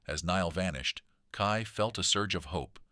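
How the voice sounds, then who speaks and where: A man reading a children's story aloud in an expressive, dramatic storytelling manner. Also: low voice, unhurried, dry recording, clean studio sound. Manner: dramatic, animated delivery.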